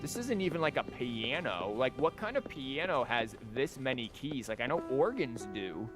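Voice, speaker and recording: A man's voice: mid-range voice, big pitch swings, moderate pace. Rambling male game streamer over music. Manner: rambling, joking asides. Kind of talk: casual live game commentary, reading dialogue aloud. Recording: music behind the voice.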